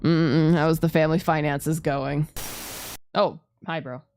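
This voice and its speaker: A woman's voice: sits low, steady tone, a little slow, a little mellow, a little hiss or hum. A woman streaming a game, reading story text aloud and dropping in casual, sweary asides. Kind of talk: let's play, reading visual novel text aloud with casual commentary. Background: background music.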